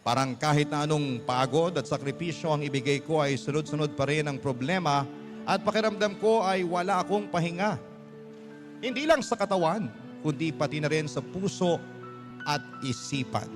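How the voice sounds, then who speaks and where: A man reading a personal letter as a radio storyteller, in dramatic scripted narration. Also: on the high side. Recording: clear music bed behind voice. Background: background music.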